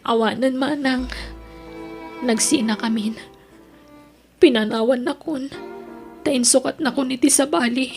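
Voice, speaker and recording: A woman's voice: sits high, steady tone, a little slow, creaky, long pauses, a little mellow. A woman acting a distraught young student in an Ilocano audio drama, with an emotional, melodramatic delivery. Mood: subdued. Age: young adult. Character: distraught young woman student, pleading, torn between lovers. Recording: music bed under the voice.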